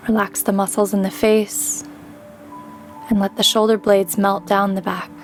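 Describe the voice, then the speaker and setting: A woman leading a guided meditation with calm, gentle instruction and pauses between phrases. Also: mid-range voice. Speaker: meditation guide, yoga teacher. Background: background music.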